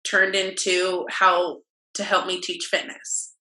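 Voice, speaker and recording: A woman's voice: on the low side, flat delivery, slow pace, bright, forceful tone, echoey room, low-quality recording. A young woman, a dancer and fitness instructor, talking openly and reflectively as an interview guest, with lots of fillers. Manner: open, self-reflective, talks with many fillers. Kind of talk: candid conversational interview.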